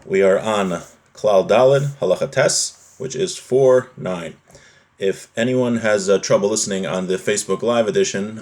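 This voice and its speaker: A man's voice: on the low side, a little mellow. A man teaching a live religious class, reading from a text, translating it and explaining it point by point, often rephrasing.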